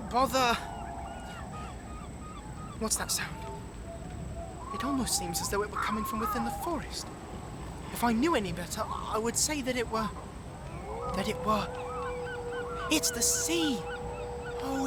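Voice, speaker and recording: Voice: mid-range voice, heavy background noise, lightly acted. An actor voicing a timid young male animal hero in a storybook audio drama, fretful and anxious but plucky, with old-fashioned children's-book diction. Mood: anxious reluctance.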